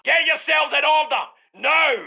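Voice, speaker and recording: An actor playing a gruff British tank commander in a war video game, barking short military orders in a forceful, gravelly voice. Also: male voice, very high-pitched, steady tone, measured pace, full character voice. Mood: commanding. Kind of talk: shouted military game barks.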